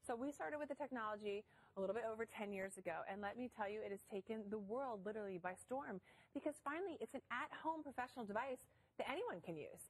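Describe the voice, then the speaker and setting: A woman with an Ohio accent, pregnant, giving an emphatic product pitch on a shopping channel. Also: expressive, fast, low-quality recording. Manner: upbeat, emphatic selling patter. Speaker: product spokeswoman.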